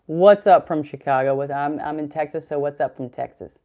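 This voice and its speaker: A young adult woman with a deep voice hosting a casual fitness livestream, chatty and rambling as she answers chat comments. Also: a little fast, mellow.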